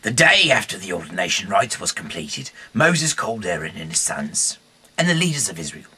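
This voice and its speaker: A man with a gravelly voice reading scripture aloud as a host, halting at times and stumbling over words and repeating phrases. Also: sits low, very animated, sharp tone, a little hiss or hum. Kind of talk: read-aloud Bible narration with casual slips.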